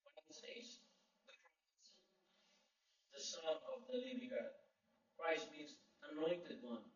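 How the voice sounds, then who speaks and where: A man's voice: on the high side, big pitch swings, takes it slowly. A male pastor from the Philippines, a native Filipino speaker, preaching a sermon with call-and-response amens and emphatic Bible quotes. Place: large echoey church hall. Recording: echoey, distant, dull lo-fi sound.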